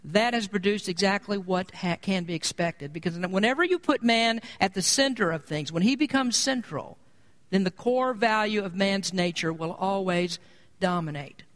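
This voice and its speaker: An American man preaches as a pastor in a noticeably high-pitched voice. He explains his points in an earnest, measured manner, in the style of an expository sermon. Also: fairly bright tone.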